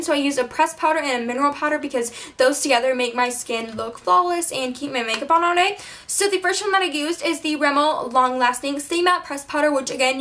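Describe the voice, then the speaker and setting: A teenage girl chatting her way through a makeup tutorial, full of fillers and self-mocking asides, her voice a little hoarse. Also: high-pitched, a bit bright. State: slightly hoarse, losing her voice. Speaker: beauty vlogger. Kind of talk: casual makeup tutorial chat.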